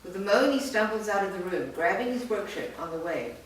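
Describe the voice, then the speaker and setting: A woman's voice: mid-range voice, animated, fairly quick, slight background noise. Woman reading screenplay directions, echoey. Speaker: reader of a screenplay. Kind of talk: staged reading of stage directions. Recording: echoey room from far off.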